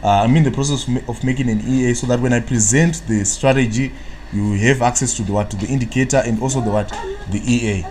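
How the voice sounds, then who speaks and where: A man's voice: medium pitch, quick pace, darkish tone, a little hiss or hum. A man from Zimbabwe with a Zimbabwean accent, hosting a live trading analysis stream, rambling and tagging sentences with "what, what" while he answers viewers' chat. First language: Shona. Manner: rambling, fills gaps with "what, what".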